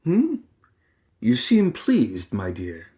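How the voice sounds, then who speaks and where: A man voicing a smug, calm, fatherly older mentor in an audiobook, genteel and condescending. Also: medium pitch, very slow, husky, exaggerated voice. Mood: smug, amused.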